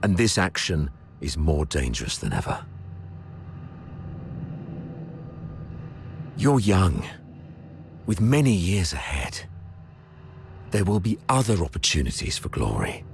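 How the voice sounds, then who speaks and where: Game role: aged war commander, extremely low register, fatherly authority, dry wit, faint noise behind. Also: big pitch swings, gravelly, exaggerated voice. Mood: solemn, wry. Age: older. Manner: measured, authoritative orders and speeches. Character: gruff old veteran military commander, fatherly and dryly wry. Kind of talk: video game cutscene acting.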